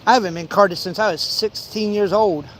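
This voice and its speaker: A man's voice: sits high, moderate pace. A man in his forties chatting casually as a fishing vlogger, rambling through anecdotes and acting out little exchanges. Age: 40s. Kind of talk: casual chat while fishing. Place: outdoors by a pond. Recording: some outdoor ambient noise.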